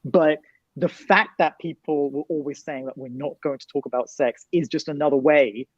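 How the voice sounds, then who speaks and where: A man with a slightly raised pitch makes long, articulate points and puts a wry edge on them. The recording is dry and a little muffled. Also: moderate pace, no echo. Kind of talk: discussion panel.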